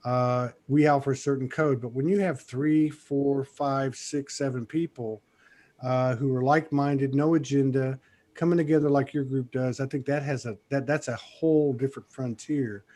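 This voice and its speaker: A man hosting a casual talk show, his voice sitting at an ordinary middle pitch. He speaks in a loose, rambling way, stopping often on "uh" and "you know" while he chats warmly with guests. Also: normal speed. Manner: relaxed, rambling with many fillers. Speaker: podcast host. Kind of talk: casual interview chat.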